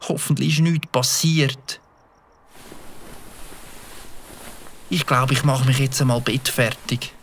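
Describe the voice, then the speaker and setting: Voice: medium pitch, steady tone, moderate pace, a little hiss or hum, close to an everyday voice. An adult voicing a young Swiss German boy who stammers with fillers, in a children's audio drama. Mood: worried. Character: young boy, mischievous but sheepish. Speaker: child character.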